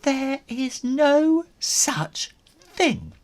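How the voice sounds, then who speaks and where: Man putting on an excitable aunt, wildly swooping. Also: extremely high pitch, voice pushed up, full character voice. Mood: scornful. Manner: theatrical, drawn-out, swooping delivery. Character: excitable, doting aunt, adult woman, by turns scornful and gushing.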